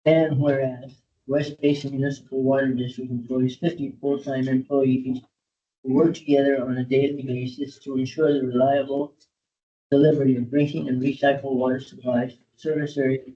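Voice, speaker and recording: Mellow-voiced man chairing a board meeting. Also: little pitch movement, normal speed, distant mic. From Cuba. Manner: hesitant, many filler sounds. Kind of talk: public board meeting, reading a proclamation. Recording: dull low-fidelity meeting audio.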